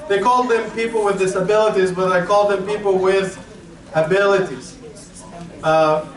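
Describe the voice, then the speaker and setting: A man from Egypt giving an informal religious talk to schoolchildren, conversational and repetitive, with frequent fillers. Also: high voice. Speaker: teacher giving a talk to students. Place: school hall with children. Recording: echoey hall, distant mic, faint crowd.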